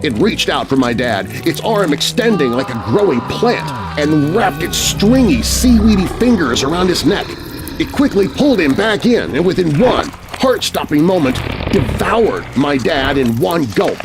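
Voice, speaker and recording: A man narrating a scary children's story in an expressive, suspenseful, scripted style. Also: fairly high pitch, moderate pace, over music. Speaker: narrator, voice artist.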